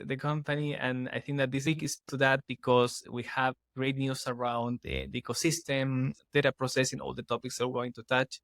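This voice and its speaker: A man's voice: mid-range voice, no room sound, clean studio sound. Man. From Chile. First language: Spanish. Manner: earnest, explaining at length with restarts and fillers. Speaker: guest, startup CEO. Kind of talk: casual podcast interview.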